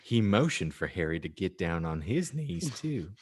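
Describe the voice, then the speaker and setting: A man's voice: low voice, very animated, moderate pace, husky. A man hosting a podcast, reading a story aloud with theatrical, mock-earnest flair and jokey asides. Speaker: podcast host and story reader. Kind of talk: comic audiobook narration with casual asides.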